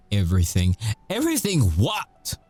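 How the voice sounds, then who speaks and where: A man playing a sardonic, volatile supernatural prisoner in an audio roleplay, mocking and bitter, swearing and taunting with dark chuckles. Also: on the low side, huge pitch swings, a little slow, slightly rough, soft background music, full character voice. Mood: defiant. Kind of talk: audio roleplay ASMR acting.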